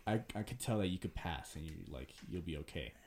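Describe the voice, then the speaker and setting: A young man hosting a casual podcast with a notably deep, low voice. He stumbles over his words and leans on fillers as he works toward his questions. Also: animated, brisk, darkish tone. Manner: hesitant, stumbling, many fillers. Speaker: podcast host.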